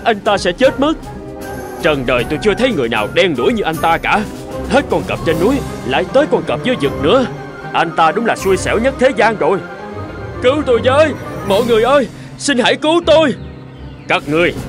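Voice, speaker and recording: Casting: earnest man of an old cartoon fable, declamatory, very lively intonation, music underneath. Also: high-pitched. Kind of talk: animated folk tale dub. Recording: music behind the voice.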